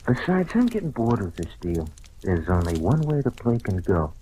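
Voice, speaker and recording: Low-voiced small-time blackmailer, 1940s-style radio noir, faint recording noise. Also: male voice, big pitch swings, normal speed, mellow. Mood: wry, smug. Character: small-time blackmailer, smug then desperately pleading for his life. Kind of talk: old-time radio detective drama acting. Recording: noisy old radio recording.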